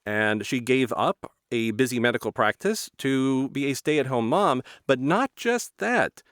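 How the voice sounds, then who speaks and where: A man's voice: medium pitch, animated, measured pace, fairly bright tone, no echo. Podcast interviewer, man, mid-range voice, recorded very dry, conversational presenting style. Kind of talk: hosting an interview, introducing the guest.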